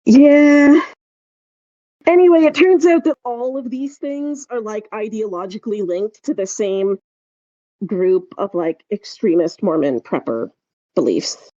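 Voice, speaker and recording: A woman co-hosting a podcast in casual chat, telling things in a rambling, halting way. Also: fairly high pitch, dark tone, no room sound, highs cut off. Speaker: podcast co-host.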